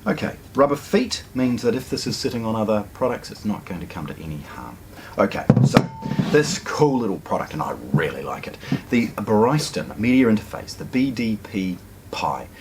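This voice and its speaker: A man's voice: lowish voice, big pitch swings, normal speed, noisy background. A middle-aged man, presenting a casual product unboxing in a hi-fi store, chatty and enthusiastic with frequent ums and restarts. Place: hi-fi store, indoors.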